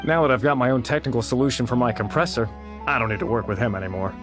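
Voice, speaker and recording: A man plays a guarded, principled researcher in a video game. His voice sits at an average pitch, blunt and earnest with a disgruntled streak. Music plays underneath. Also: quick pace, natural voice.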